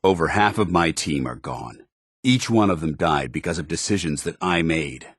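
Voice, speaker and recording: An American man voicing a stern, earnest, duty-bound military commander in a video game, speaking in formal, measured phrases. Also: rumbling low, fairly bright tone, husky, no room sound, studio quality, exaggerated voice. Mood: self-doubting. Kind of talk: video game companion dialogue.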